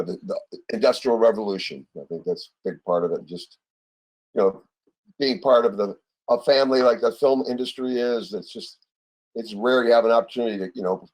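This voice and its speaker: A man speaking as a guest on a live online panel, halting and plain-spoken in his earnest, informal remarks. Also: lowish voice, darkish tone, poor audio. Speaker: film set builder, panel guest.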